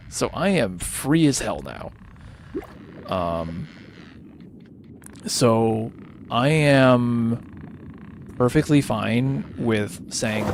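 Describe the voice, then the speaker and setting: A tired man whose voice is starting to go hoarse, rambling casually and thinking aloud as he hosts a gaming livestream. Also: medium pitch. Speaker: livestreamer hosting a charity gaming stream. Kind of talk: casual unscripted game-stream chat.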